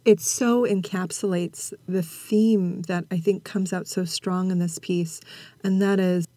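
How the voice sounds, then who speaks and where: A woman's voice: fairly low pitch, steady tone, unhurried, mellow, no room sound. A woman hosting a reflective podcast, interviewing a guest and reading scripted narration. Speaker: podcast host.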